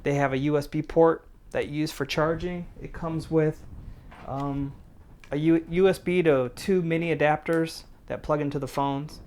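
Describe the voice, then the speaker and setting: A man's voice: a little high, fairly even intonation, moderate pace, a little mellow, clean tone. A middle-aged man hosting a casual product review, talking in a plain-spoken, conversational way. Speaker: prepper channel host and product reviewer.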